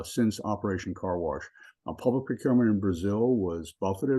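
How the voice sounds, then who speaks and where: A man, a law professor, opening and hosting a webinar over a video call, speaking in a measured way with frequent ums and restarts. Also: low voice, dark tone. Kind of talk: webinar introduction.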